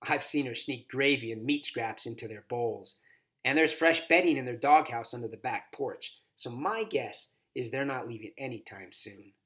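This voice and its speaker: A man's voice: mid-range voice, normal speed, a bit bright. A man reading a children's novel aloud expressively, as a volunteer story reader.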